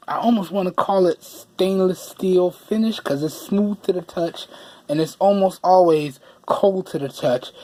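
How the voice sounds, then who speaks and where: A man with a hoarse voice that he says he is losing, giving a chatty, casual product review and often repeating phrases for emphasis. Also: high voice, darkish tone.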